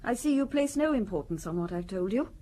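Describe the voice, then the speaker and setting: An actress in an audio drama playing a cold, aggrieved upper-class wife with a formal, pointed way of speaking. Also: female voice, medium pitch, animated, fairly quick, warm tone, a little hiss or hum, natural voice. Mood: indignant, curt. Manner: formal, haughty, pointed delivery.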